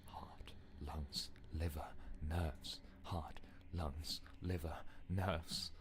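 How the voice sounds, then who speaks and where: An obsessive voice inside the head, performed by a man, chanting in a low, breathy whisper. It repeats in a hushed game-horror mantra, slow and unrelenting. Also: flat delivery, heavily acted.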